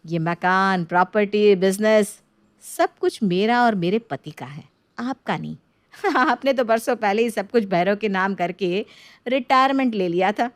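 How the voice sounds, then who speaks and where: A woman's voice: mid-range voice, unhurried, no room sound, lightly acted. A woman playing a haughty, greedy daughter-in-law in a moral story, mocking and condescending. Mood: mocking, smug. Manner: condescending, sneering.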